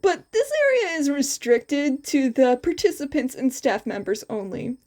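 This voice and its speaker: A woman voicing a protective young male winged hero in a fan fiction story, calm, firm and defiant. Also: high voice, a little slow. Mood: firm, threatening. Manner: calm, firm, quietly threatening.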